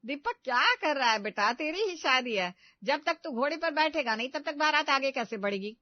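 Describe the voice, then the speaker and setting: महिला द्वारा टोकती, झुंझलाई अधेड़ माँ. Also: female voice, high voice, expressive, moderate pace, dry recording. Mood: loud nagging. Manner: nagging, instructing. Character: exasperated, scheming middle-aged mother of the groom. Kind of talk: animated Hindi comedy story dialogue. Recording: dull, lo-fi studio voice-over.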